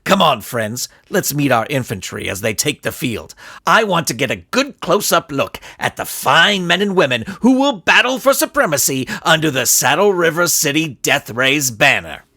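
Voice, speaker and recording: A man's voice: on the high side, big pitch swings, moderate pace, bright, forceful tone, exaggerated voice. A man voicing a scheming supervillain with a skull head, speaking in pompous, bombastic speeches for a storybook. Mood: gleeful, commanding.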